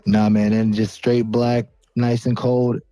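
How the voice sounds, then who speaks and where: A man's voice: medium pitch, level tone, takes it slowly, warm tone, dry recording, faint music behind. A man co-hosting a podcast in casual chat, slangy and calling everyone "bro". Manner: slangy, says "bro" constantly. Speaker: podcast co-host.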